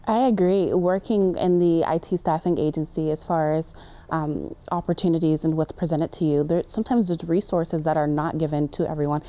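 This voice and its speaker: A woman's voice: low-pitched, steady tone, moderate pace, dark tone. A woman panelist speaking directly about unequal resources and posing pointed questions. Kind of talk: panel discussion.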